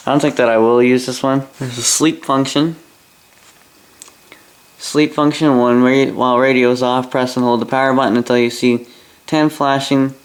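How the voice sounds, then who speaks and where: A man's voice: mid-range voice, a little hiss or hum, poor audio. A Canadian man giving a casual, rambling hobbyist product review, with grumbling asides and mild swearing.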